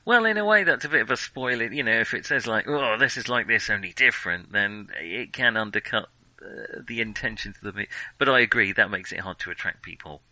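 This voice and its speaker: Lower-pitched man with very sharp, bright voice. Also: lively intonation, a little fast, no echo, low-quality recording. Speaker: podcast co-host. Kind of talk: casual film discussion.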